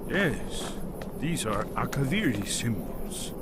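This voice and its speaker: Elderly scholar over loud rumble. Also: male voice, big pitch swings. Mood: absorbed, studious. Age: older. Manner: measured, scholarly, explanatory. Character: old male scholar of an ancient order, cautious, learned. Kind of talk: video game character dialogue. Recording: game audio under loud ambient rumble.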